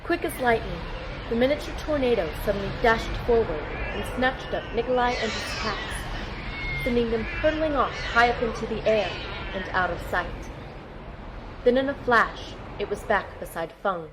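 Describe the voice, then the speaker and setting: A woman's voice: fairly high pitch, moderate pace, noisy background, close to an everyday voice. An actress playing an earnest young girl in an audio drama, telling the story in first person and speaking in it. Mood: urgent narration. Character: earnest young girl narrating her team's battle.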